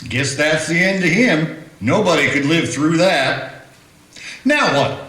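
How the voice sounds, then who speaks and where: A man playing a timid, complaining frontier sidekick in a community radio play, fussing in a slow drawl. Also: a little high, lively intonation, bright, forceful tone, exaggerated voice. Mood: fretful. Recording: echoey room.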